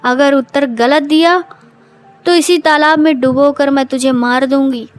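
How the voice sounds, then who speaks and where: Menacing pond witch acted by a woman, high female voice, drawn-out imperious delivery, music underneath. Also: steady tone. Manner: slow, imperious, threatening delivery. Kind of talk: cartoon moral story voice acting.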